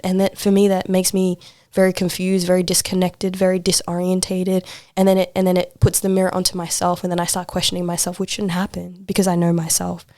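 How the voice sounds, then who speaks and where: Low, even-toned woman, close and dry. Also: dry recording. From Australia. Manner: informal and emphatic, repeats phrases for stress, frequent rhetorical check-ins. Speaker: podcast guest, poet and social worker. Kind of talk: casual interview conversation.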